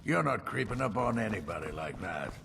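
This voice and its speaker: A man playing a gruff, dismissive character in a fantasy game. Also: fairly low pitch, animated, fairly quick, sharp tone, a little hiss or hum, exaggerated voice. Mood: scornful.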